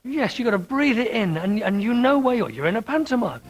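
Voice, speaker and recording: A man's voice: very high voice, sing-song, fairly quick. A British man giving opinionated interview talk in an emphatic, theatrical manner.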